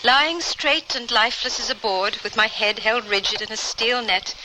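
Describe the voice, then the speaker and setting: A woman's voice: a little high, normal speed, lightly acted. An actress playing a prim, strong-willed, pious lady adventurer with formal, genteel speech in an old radio drama. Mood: resolute. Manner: formal, genteel, precise phrasing. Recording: noisy old radio recording.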